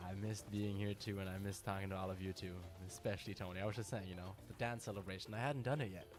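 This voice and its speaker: Male gamer, deep voice, casual live-stream chatter, music bed behind. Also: fast. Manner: relaxed, chatty, talks to viewers by name. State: tired from little sleep. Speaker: game streamer. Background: music playing.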